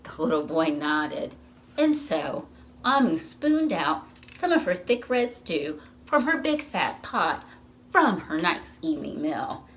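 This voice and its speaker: A woman's voice: sits low, a little hiss or hum. A woman reading a picture book aloud to young children, expressively and with questions to the listeners. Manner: animated, slow, asks the listeners questions. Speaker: children's storytime reader at a library.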